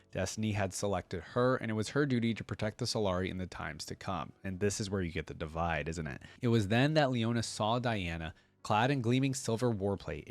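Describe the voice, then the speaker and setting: A man's voice: fairly low pitch, no room sound. A man hosting a reaction video in an informal way, reading text aloud and dropping in short casual asides. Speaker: host of a fan reaction channel.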